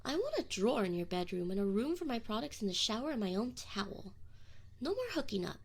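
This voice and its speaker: Woman playing a prickly tsundere succubus, swooping lively pitch. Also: creaky, heavily acted. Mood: earnest, tender. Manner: defensive, teasing, halting when admitting feelings. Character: tsundere succubus demon, young woman, prickly, needy, affectionate. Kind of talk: scripted ASMR roleplay acting, intimate direct address.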